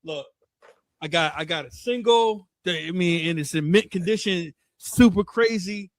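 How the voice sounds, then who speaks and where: High-voiced excitable man hosting an interview. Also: normal speed, no echo. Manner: excitable, fumbling and repeating words. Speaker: interview host. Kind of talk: casual interview conversation.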